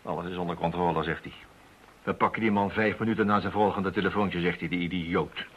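An actor playing an eager, determined investigator in a radio play, urgent and persuasive. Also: male voice, low voice, steady tone, brisk, a little hiss or hum, close to an everyday voice. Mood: exasperated. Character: eager, determined male amateur investigator, impatient with police. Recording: dull lo-fi radio drama recording.

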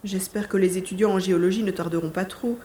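A woman's voice: mid-range voice, fairly even intonation, fast talker, mellow, natural voice. Woman playing a determined young boy adventurer, roomy echo. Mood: watchful. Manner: thinks aloud, narrating own actions. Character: determined young boy hero on a secret rescue mission. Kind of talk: audio drama acting.

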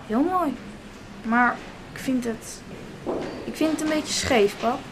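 A woman's voice: high voice, slow pace, noisy background. A woman playing a curious ten-year-old boy in a radio drama, asking childlike questions. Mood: puzzled, stubborn.

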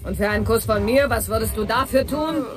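A woman's voice: fairly high pitch, a little fast, natural voice. A woman playing a flirty, teasing partygoer. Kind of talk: radio drama acting. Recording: club music behind dialogue.

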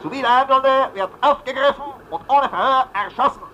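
A man's voice: extremely high pitch, fairly quick, bright, forceful tone. A man playing a harsh official announcer in a German radio play, reading a decree in a strident, rigid, bureaucratic manner. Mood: menacing, strident. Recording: noisy old radio play recording.

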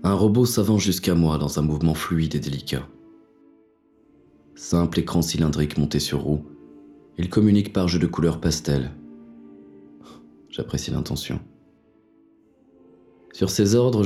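A man's voice: rumbling low, moderate pace, long pauses, mellow, soft background music. A man narrating in the first person as a weary, grim inspector in an audio drama. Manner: weary, grim inner monologue.

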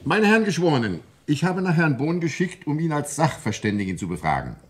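A man's voice: a little high, sing-song, fairly quick. A man playing a commanding coroner in a German radio crime drama, formal and insistent in his questioning. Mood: formal, businesslike. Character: commanding male coroner questioning witnesses at an inquest.

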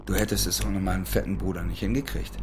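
An actor playing a menacing young soldier and domineering elder brother in a German radio play, with a commanding, taunting way of talking. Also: male voice, deep voice, steady tone, fast, over music, close to an everyday voice.

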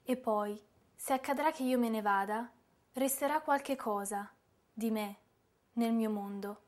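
A woman's voice: on the high side, a little slow, darkish tone, lightly acted. An actress playing a wistful, delicate young woman poet reciting verse in a radio drama. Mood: wistful hope.

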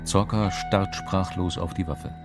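Deep male narrator, stark short stage directions, sombre, over clear music in a radio drama. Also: steady tone, measured pace. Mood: grave, terse. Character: terse, grave male scene narrator. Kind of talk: scripted radio play narration, terse scene settings. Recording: radio play with music underneath.

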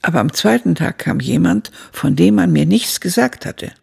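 Old lady narrator looking back, very gravelly husky voice, measured, radio play. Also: on the low side, expressive, darkish tone, heavily acted. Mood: reflective. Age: older. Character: elderly woman narrator looking back on her youth. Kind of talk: scripted radio drama narration.

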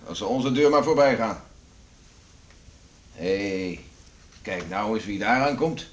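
An actor playing a stubborn, gruff middle-aged father who flares up quickly, in a radio drama. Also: fairly low pitch, lively intonation. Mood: grumbling, wary. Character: stubborn, hot-tempered father of an island family. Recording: hissy old radio play recording.

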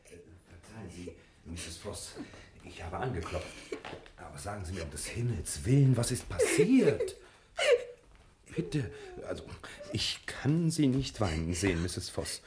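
An actor playing a solicitous, excitable gentleman detective in a 1960s German radio play, stammering and fussily concerned. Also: lowish voice, a little slow, background noise. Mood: concerned, soothing. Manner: stammering, fussy, theatrically concerned.

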